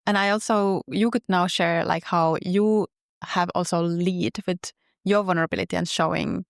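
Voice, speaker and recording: Finnish-accented woman, low dry voice. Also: fairly even intonation, creaky, clear, resonant tone, dry recording. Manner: earnest, measured, open. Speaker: podcast guest, health coach. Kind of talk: reflective interview talk.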